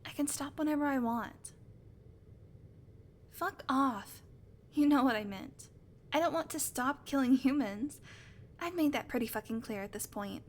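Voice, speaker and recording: A woman's voice: high-pitched, measured pace, long pauses. A woman playing a sarcastic, teasing vampire who swears casually, in a conversational audio roleplay. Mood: defensive, irritated. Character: sardonic female vampire, unrepentant predator, teasing. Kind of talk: audio roleplay acting, conversational monologue to listener.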